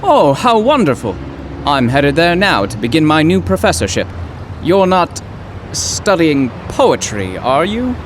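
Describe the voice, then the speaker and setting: Earnest, flustered professor, swooping voice. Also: male voice, on the high side, sing-song, a little slow, slight background noise. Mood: hopeful, curious. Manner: flustered, florid old-fashioned phrasing. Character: earnest, awkward poetry professor, romantic and wordy. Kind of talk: old-time radio drama acting.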